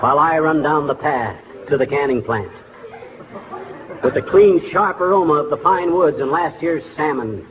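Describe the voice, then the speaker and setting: An actor playing a blustery, glib middle-aged husband in a 1940s radio comedy, folksy and self-important. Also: male voice, a little high, normal speed, over a noisy crowd, lightly acted. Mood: sentimental, folksy. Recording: tinny, noisy 1940s radio broadcast.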